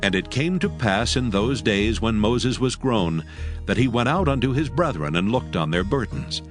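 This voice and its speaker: A man with a lowish voice narrates scripture in a measured, solemn way. His intonation rises and falls expressively, and faint music plays underneath. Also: animated.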